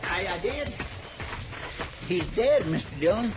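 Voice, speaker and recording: An actor playing a loyal, folksy western deputy with an American Western drawl, in 1950s radio drama style. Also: male voice, high voice, lively intonation, a little slow. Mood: urgent. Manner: folksy, plain-spoken. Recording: muffled, noisy 1950s radio recording.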